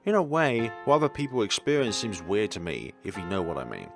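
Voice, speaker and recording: A man voicing a gentle, shy blind schoolgirl in a visual novel, with an earnest, hesitant delivery. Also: on the low side, animated, fairly quick, music in the background. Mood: open, pleased.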